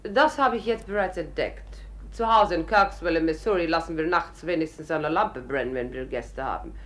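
A woman's voice: sits low, normal speed, a bit bright. An actress in a 1950s German radio play voicing an effusive, chatty and bold tourist lady. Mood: innocent, chatty. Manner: effusive, theatrical. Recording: noisy old radio recording.

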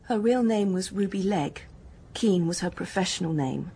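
An actress playing a young, guarded, matter-of-fact English dance hostess in a radio drama, speaking in a brisk, frank, colloquial way. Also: female voice, on the low side, lively intonation, vocal fry, dark tone, a little hiss or hum. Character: hard-headed working-class hotel dance hostess, guarded, matter-of-fact.

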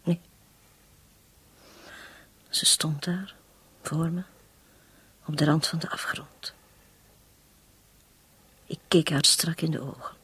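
An actress in a radio drama playing a long-suffering, resolute woman making a confession, her voice breathy and husky, her delivery halting and intense. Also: low voice, steady tone, fairly bright tone, whispered, full character voice. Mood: haunted, hushed.

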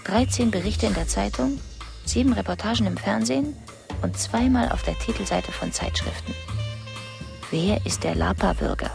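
Obsessive, brooding wife, music behind. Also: female voice, medium pitch, normal speed, gravelly. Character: distraught wife obsessed with her husband, a killer. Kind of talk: German radio drama monologue.

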